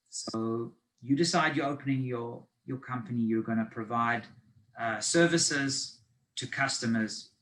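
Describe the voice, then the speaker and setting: South African male presenter, mid-pitched, easygoing explainer with ums and restarts, webinar over a video call. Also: low-quality recording. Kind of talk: live online business finance presentation.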